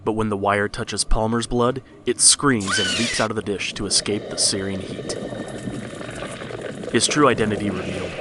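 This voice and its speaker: A man's voice: lowish voice, noisy background. A man narrating a scripted horror story in the style of a read-along storybook record.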